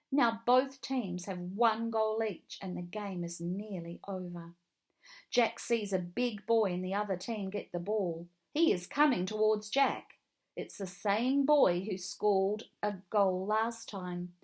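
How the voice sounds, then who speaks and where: A woman narrating a children's read-along story in a deliberate, expressive way. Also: medium pitch.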